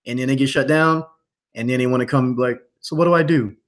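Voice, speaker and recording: A man's voice: mid-range voice, a little fast. A man chatting casually and earnestly as a guest promoting his course, full of slang and repetition.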